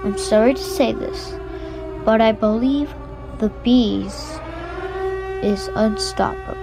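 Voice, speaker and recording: A woman playing a solemn emergency broadcast announcer, speaking gravely and haltingly as she delivers doomsday warnings. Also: fairly high pitch, music in the background.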